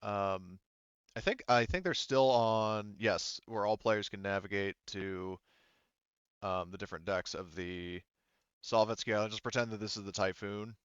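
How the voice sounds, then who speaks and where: A man with a fairly low, very smooth voice runs a tabletop game. He speaks haltingly, working things out as he goes. The sound is dry and a little dull, like online voice chat. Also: no echo. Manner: halting, thinking aloud. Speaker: game master. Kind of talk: unscripted tabletop game narration.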